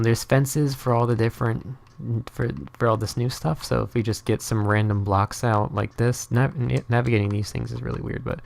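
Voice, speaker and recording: A man's voice: mid-range voice, creaky, a little mellow. A young man whose first language is Norwegian, giving casual, rambling gameplay commentary full of fillers and restarts. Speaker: gaming channel host.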